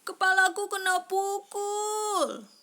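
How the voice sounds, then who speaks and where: A woman voicing an excitable young monkey in a children's storybook, exclaiming in a shrill, urgent way. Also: slow, heavily acted. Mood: panicked, shrieking.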